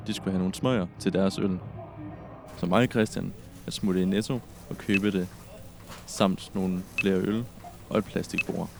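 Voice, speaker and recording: A man's voice: sits low, gravelly. A young man narrates his own story as a scripted podcast. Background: music.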